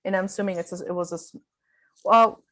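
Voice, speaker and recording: A woman's voice: mid-range voice, steady tone, fast, a bit bright. A woman conducting an informal interview, feeling her way through tentative, meandering questions. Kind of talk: informal oral history interview.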